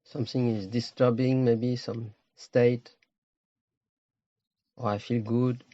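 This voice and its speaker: Low-voiced man guiding a meditation. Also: level tone, dark tone, dry recording, highs cut off. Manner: slow, pausing, short phrases. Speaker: meditation teacher. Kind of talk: guided meditation.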